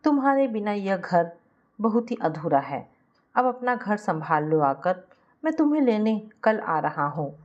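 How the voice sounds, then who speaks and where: A woman's voice: mid-range voice, big pitch swings, a little slow, a little mellow, lo-fi sound. A woman voicing a remorseful husband, pleading and apologetic, in a family story. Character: remorseful husband pleading with his estranged wife.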